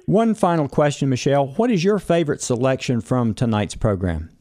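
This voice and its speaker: A man's voice: mid-range voice, lively intonation, a little fast, dark tone. Man hosting a radio interview. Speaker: morning show host. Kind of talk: broadcast interview.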